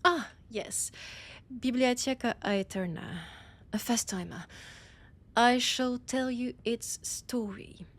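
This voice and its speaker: A woman's voice: on the high side, takes it slowly. A woman with a French accent voicing an annoyed, imperious vampire scholar in an intimate audio roleplay, haughty and commanding, often slipping into a whisper. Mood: haughty, storytelling.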